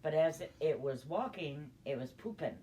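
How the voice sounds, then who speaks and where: An older woman telling a personal story in a conversational, halting, unhurried way. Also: low-pitched, expressive, warm tone. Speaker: storyteller grandmother.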